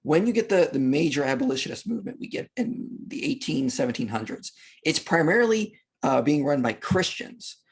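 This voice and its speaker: A man's voice: fairly high pitch, very animated, fairly quick, creaky, slightly rough. A man speaking as a podcast guest, explaining history in an emphatic, conversational way and acting out imagined quotes. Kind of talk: animated explanatory discussion of history.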